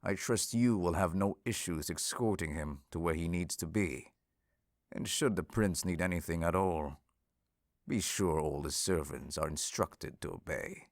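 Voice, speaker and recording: A man playing a stern, authoritative king in a fantasy audio drama, measured, formal and commanding. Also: low-pitched, dry recording, clean studio sound. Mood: grave, commanding. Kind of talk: fantasy audio roleplay acting.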